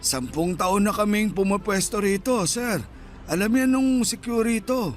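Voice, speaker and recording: A man's voice: high voice, measured pace. A man playing a grumbling, humble elderly street vendor and husband in a radio drama. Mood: explaining. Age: older.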